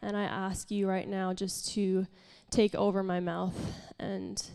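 A young woman preacher with a congested, nasal tone speaks candidly and self-deprecatingly, full of casual fillers. Her voice is smooth and clear despite the stuffiness. It carries a long reverb from a church hall. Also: mid-range voice, flat delivery, measured pace, a little mellow. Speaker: pastor and worship leader. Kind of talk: informal sermon.

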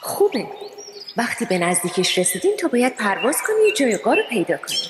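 A woman's voice: fairly high pitch, big pitch swings, background noise. A woman playing a wise, guiding water spirit in a children's radio play, speaking in a solemn, instructing manner. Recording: long hall reverb, music underneath.

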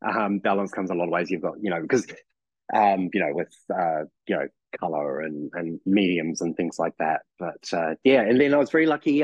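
Picture a man in his forties with a New Zealand accent, talking in a loose, meandering way that is full of fillers and false starts. His voice sits fairly low. The sound is dry and close, with little room in it. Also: no room sound, highs cut off. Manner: rambling, full of "you know" and restarts. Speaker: podcast guest. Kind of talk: casual chat.

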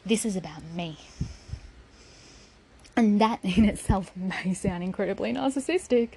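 A woman speaks with raw, defiant intensity, swearing freely as she lays out a personal account. Her voice sits at an ordinary middle pitch and now and then sinks to a near whisper. A faint hiss of room noise lies underneath. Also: animated, measured pace, darkish tone. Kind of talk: personal spoken essay.

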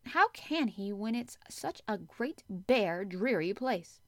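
A fretful young boy from a storybook, acted out by a woman reader. He sounds imperious and resentful, restless and quick to demand answers, in a very dry recording. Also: mid-range voice, animated, no echo. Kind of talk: audiobook character acting.

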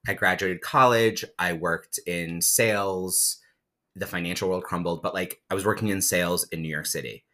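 A man in his thirties from New Jersey, co-hosting a podcast and telling stories in a relaxed casual way. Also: low-pitched, normal speed. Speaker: podcast co-host. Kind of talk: casual chat.

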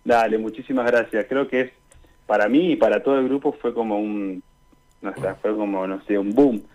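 A man's voice: fairly low pitch, normal speed, a little mellow. A young man with an Argentine Spanish accent giving casual interview answers as a guest over a call. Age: young adult. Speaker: student guest, radio drama performer. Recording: dull, lo-fi call audio.

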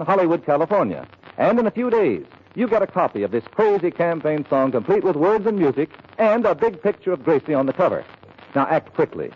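A male radio announcer with a slightly high, animated voice. He delivers polished, emphatic 1940s advertising copy. The old broadcast recording is dull with a little hiss.

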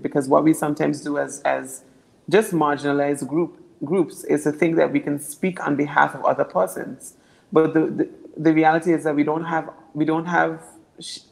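A young woman from Anguilla with a naturally deep voice, answering interview questions earnestly and often repeating words as she builds her points. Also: gravelly, darkish tone, low-quality recording. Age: young adult. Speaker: political candidate.

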